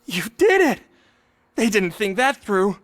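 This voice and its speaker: A man's voice: high-pitched, very animated, unhurried, fairly bright tone, full character voice. A man playing a scared, loyal teenage petty thief in a video game, anxious and pleading. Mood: nervous, confiding.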